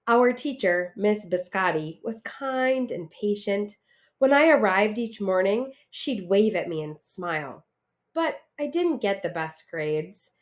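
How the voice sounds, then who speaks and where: Woman doing a dramatic kids book read aloud, voice goes up and down a lot. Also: mid-range voice. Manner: expressive, dramatic delivery for children. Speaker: teacher reading a picture book aloud. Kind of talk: animated storybook read-aloud.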